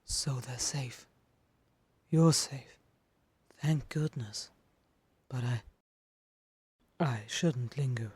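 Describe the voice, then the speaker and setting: A woman performs a lonely male supernatural being from a fan-fiction audio drama. The voice is very husky and breathy, slow and halting, haunted yet protective. It sounds close and dry. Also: a bass voice, a little mellow, dry recording, voice pushed down, heavily acted. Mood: relieved, hesitant.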